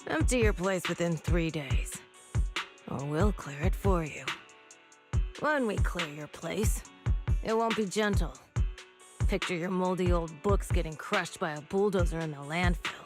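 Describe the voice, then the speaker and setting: A woman performs as an arrogant video game businesswoman with a low voice. She delivers threats slowly and deliberately, with haughty menace, over clear background music. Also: close to an everyday voice. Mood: threatening. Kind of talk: scripted video game voice acting.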